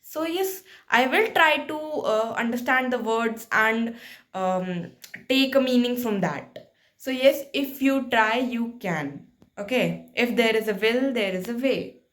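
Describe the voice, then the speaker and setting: A woman's voice: on the high side, takes it slowly, a bit bright. Chatty Indian woman giving tips. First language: Hindi. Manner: rambling, with many fillers and restarts; switches between English and Hindi. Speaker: channel host giving learning tips. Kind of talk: casual spoken tutorial.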